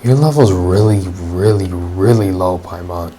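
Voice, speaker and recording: A woman's voice: very deep voice, a little slow, warm tone, breathy edge. A woman giving casual, slangy, jokey commentary while playing through a game. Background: game music.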